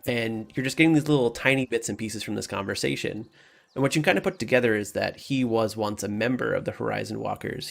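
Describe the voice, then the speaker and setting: Gravelly man running a tabletop game. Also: mid-range voice. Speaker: game master. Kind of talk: improvised tabletop game narration.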